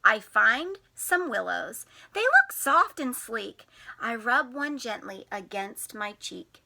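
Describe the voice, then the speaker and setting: A woman teacher reading a picture book to young children in an expressive, sing-song way, putting questions to them. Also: on the high side, slow, vocal fry. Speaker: teacher and storytime host.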